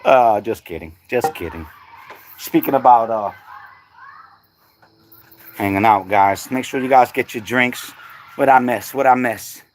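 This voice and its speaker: A man's voice: mid-range voice, a little slow, pauses often, slight background noise. A middle-aged man chatting casually on a livestream, laid-back and slangy, laughing often. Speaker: livestream host.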